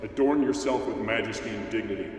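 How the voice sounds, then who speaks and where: A man's voice: on the low side, fast, a little mellow, lightly acted. A man playing a stern, majestic God who commands and challenges in a dramatized scripture reading. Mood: commanding. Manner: commanding, rhetorical questioning. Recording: very echoey hall, distant mic, faint noise.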